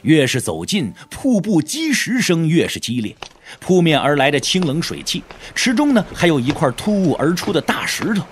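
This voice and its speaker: A man's voice: fairly high pitch, huge pitch swings, moderate pace, slight background noise. A man narrating a martial arts audio drama in a dramatic, scripted storytelling style.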